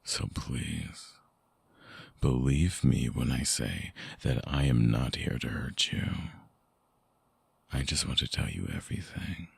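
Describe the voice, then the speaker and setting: A man performs a vampire ex-boyfriend in a romantic ASMR audio drama, vulnerable and obsessively devoted. His voice is extremely low and thick with fry, husky and full of breath. He pleads softly and hesitantly, right up close to the microphone. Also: expressive, takes it slowly, dry recording, full character voice. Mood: tender, pleading. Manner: hesitant, soft-spoken, pleading. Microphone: close binaural microphone, dry.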